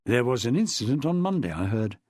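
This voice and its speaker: A narrator voicing a paunchy male police detective in an audiobook, dry, measured and persistent in questioning. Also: mid-range voice, big pitch swings, darkish tone, husky, no room sound. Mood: dry, probing.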